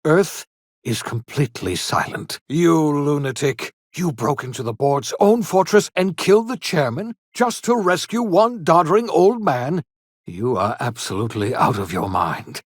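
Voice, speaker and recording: An actor playing an eccentric elderly scientist and rebel in a video game, earnest and theatrical, with formal, old-fashioned, emphatic phrasing. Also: male voice, fairly high pitch, lively intonation, unhurried, no echo, studio quality. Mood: excited, incredulous. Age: older. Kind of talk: video game character dialogue.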